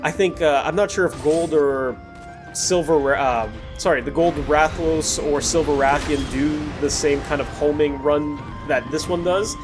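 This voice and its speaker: A man's voice: on the high side, normal speed, over music. A man hosting a gaming video, giving casual, digressive commentary on gameplay in an apologetic manner. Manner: apologetic, digressive, often backtracking to earlier points. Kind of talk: casual gameplay commentary over recorded footage.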